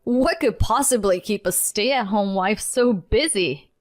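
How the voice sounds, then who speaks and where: A man's voice: high voice, measured pace. A woman voicing a scoffing, derisive husband. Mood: scornful. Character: scornful heavy-drinking husband, lazy and mocking.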